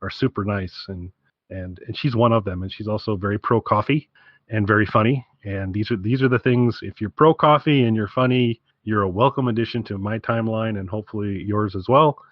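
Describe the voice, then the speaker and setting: A man's voice: on the low side, fairly quick, no room sound, dull audio. A man co-hosting a podcast, chatting casually in a rambling, wry way. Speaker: podcast co-host.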